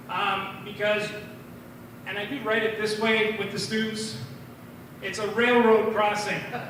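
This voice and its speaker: A middle-aged American man doing a rambling, halting stand-up comedy set at an open mic in a bar. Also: high voice, sharp tone. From Minnesota, United States. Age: 40s or older. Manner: rambling, halting delivery with tangents. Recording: very echoey, distant room recording, clear noise.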